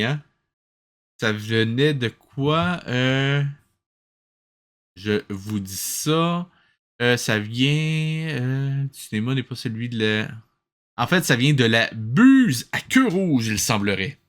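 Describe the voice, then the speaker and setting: Un homme à l'accent québécois qui commente de vieux dessins animés de façon décontractée et sarcastique, un peu exaspéré. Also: male voice, medium pitch, animated, takes it slowly, pauses often, a bit bright. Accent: Quebec French. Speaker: host of a web show reviewing old cartoons. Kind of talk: casual comedic commentary.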